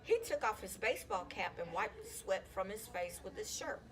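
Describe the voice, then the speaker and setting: A woman reading a children's chapter book aloud in an expressive, storytelling style. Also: medium pitch, moderate pace. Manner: animated, acts out dialogue. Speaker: school counselor reading aloud to students.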